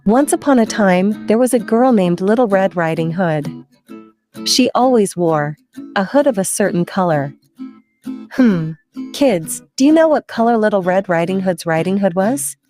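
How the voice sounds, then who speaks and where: A woman's voice: medium pitch, animated, moderate pace, darkish tone, soft background music. A woman hosting story time for children, playful and chatty, putting questions to her young listeners.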